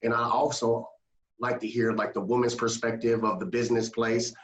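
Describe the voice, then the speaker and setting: A man's voice: mid-range voice, flat delivery. Man, echoey and far from mic. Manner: rambling, halting questions. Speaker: podcast co-host. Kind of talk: casual interview chat.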